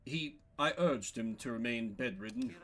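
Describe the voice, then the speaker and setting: A man's voice: medium pitch, expressive, normal speed, fairly bright tone. A man voicing an earnest, fretful minstrel healer in a video game, with stiff, old-fashioned, wordy phrasing. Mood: worried, insistent.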